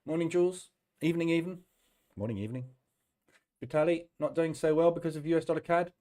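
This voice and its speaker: A middle-aged man with a deep, throaty, hoarse voice from a cold, hosting a casual live trading stream and chatting between fillers. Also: quick pace, dark tone. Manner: chatty, greets viewers by name, many fillers.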